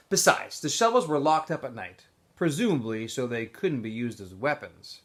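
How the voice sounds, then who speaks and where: Animated man reading a novel aloud. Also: mid-range voice, a little slow. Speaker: teacher reading aloud to students. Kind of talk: expressive storybook read-aloud of a novel.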